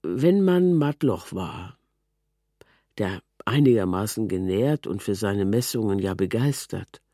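A man reading literary prose as a measured narrator. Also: lowish voice, lively intonation, mellow, no room sound, studio quality. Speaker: reader of a literary text.

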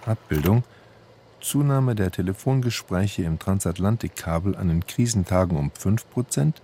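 A man's voice: low voice, lively intonation, moderate pace, dark tone, a little hiss or hum. A man reading scripted literary narration in a factual, matter-of-fact manner. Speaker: reader.

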